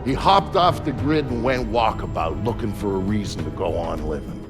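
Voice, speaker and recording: An actor playing a world-weary, folksy comrade, narrating a video game story with wry drama. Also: male voice, on the low side, lively intonation, normal speed, lightly acted. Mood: wry, dramatic, then somber. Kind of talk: scripted narration in a game cinematic. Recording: music under the narration.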